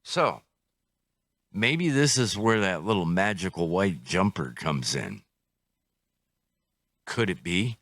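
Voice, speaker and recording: An older man with a very low, bright-edged voice talks through a casual hands-on review. He is slow and musing, often thinking aloud to himself. The sound is dry and close, without room echo. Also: sing-song. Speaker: radio hobbyist reviewer.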